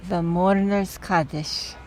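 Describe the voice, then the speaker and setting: A woman's voice: fairly low pitch, fairly even intonation, slow pace, noisy background. A woman playing a fond, opinionated, wry older aunt in mourning, in radio play dialogue. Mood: wry, nostalgic.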